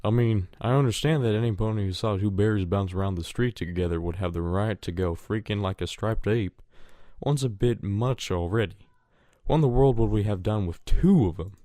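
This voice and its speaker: A man's voice: on the low side, moderate pace, warm tone, no room sound, clean studio sound, lightly acted. A man playing a stubborn, easygoing farm pony with a folksy American Southern drawl in a fan audio drama.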